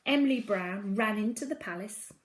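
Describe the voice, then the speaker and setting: A woman's voice: medium pitch, moderate pace, poor audio. A woman reading a children's story aloud, expressively, with dramatic emphasis on key phrases. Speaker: storybook reader at a primary school.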